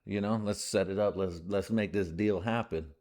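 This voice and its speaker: A man's voice: lowish voice, moderate pace, a little mellow, close to an everyday voice. A man doing his easygoing, slangy dad. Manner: casual slangy street talk. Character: laid-back middle-aged dad doing a car deal.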